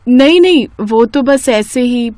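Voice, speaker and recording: Woman as exasperated young housewife, high-voiced. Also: lively intonation, slow pace, darkish tone, no echo, clean studio sound. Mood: plaintive, feigning. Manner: plaintive, complaining. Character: overworked, exasperated young housewife in a family cartoon.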